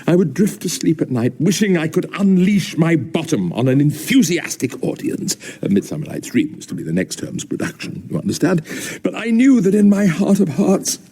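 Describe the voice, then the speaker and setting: A man's voice: high-pitched, a little mellow, husky, exaggerated voice. An actor playing a pompous, wistful man in a radio sitcom, talking in a grandiose, wordy and theatrical way. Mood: mournful, dramatic. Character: pompous, theatrical, wistful male museum owner.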